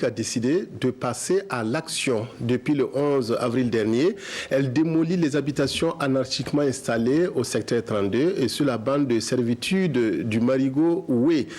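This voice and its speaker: A man's voice: mid-range voice, a little mellow. A man presenting scripted news as an anchor.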